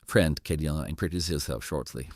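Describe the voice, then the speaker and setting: A man with a non-native English accent hosting an interview chat, halting and stammering, often repeating words. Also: extremely low pitch, darkish tone, no room sound, studio quality. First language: not English. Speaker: host.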